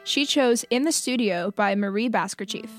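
A woman's voice: mid-range voice, normal speed, faint music behind. Young woman reading a museum guide. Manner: even, read-aloud delivery. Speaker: student presenter. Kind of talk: scripted audio guide narration.

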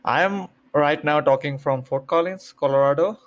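A man's voice: on the high side, moderate pace, dull audio. A young man from Bangladesh, a graduate student answering interview questions with careful explanations and many hesitant fillers. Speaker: guest, PhD student.

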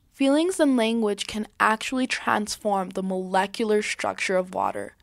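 A young woman from New Mexico, born in Palestine, reading her own essay in a soft, measured way. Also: medium pitch, creaky, no room sound, clean studio sound. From born in Palestine, raised in New Mexico. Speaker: youth radio essayist.

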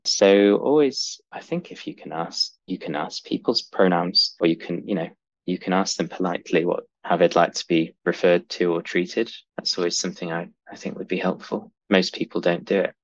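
A very low, deep voice that sounds like a man's to the ear. The speaker talks confidently and earnestly as an interview guest, with a slightly dull recording. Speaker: podcast guest, real estate lawyer. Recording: dull sound, echoey room.

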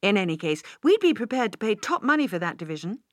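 A woman's voice: medium pitch, sing-song, fast, no echo, clean studio sound, voice pushed up, lightly acted. Woman voicing an insistent young male executive. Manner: insistent, persuasive. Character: eager, pushy younger male executive, magnate's son.

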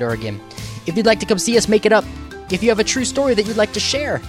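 A man hosting a podcast, introducing the show and putting questions to his guest. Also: a little high. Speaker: podcast host.

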